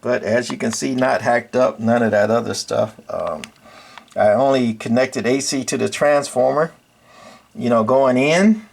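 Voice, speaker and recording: Older man, creaky voice, rambling casually. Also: mid-range voice, a little slow. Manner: rambling, colloquial, folksy. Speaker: hobbyist radio repairer and video presenter. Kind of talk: casual unscripted bench walkthrough.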